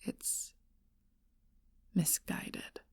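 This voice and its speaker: A woman voicing an ancient, lonely, seductive female vampire in a whispered ASMR role-play, speaking slowly and softly into a close mic. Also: dry recording. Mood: mournful whisper. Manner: soft intimate whisper, slow and measured.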